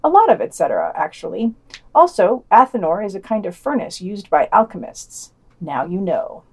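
A woman's voice: mid-range voice, sing-song, normal speed. A woman reading a scripted podcast news roundup, dropping in casual, playful and wry asides.

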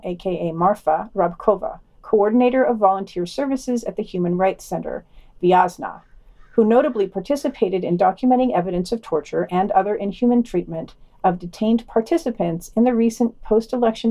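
A woman's voice: mid-range voice, warm tone. A woman reading scripted podcast news and adding her own commentary.

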